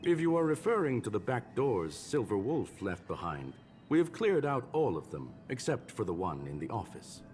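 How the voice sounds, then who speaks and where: An actor playing a courteous, calculating scholarly gentleman in a video game, speaking in a formal, measured, polite way. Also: medium pitch, sing-song, soft background music, close to an everyday voice. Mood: courteous, calm.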